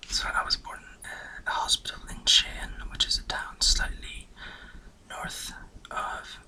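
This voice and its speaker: A man's voice: measured pace, pushed and bright, low-quality recording. A man in his twenties from Norway, whispering a rambling, self-deprecating life story for an ASMR video. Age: 20s. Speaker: ASMR channel host.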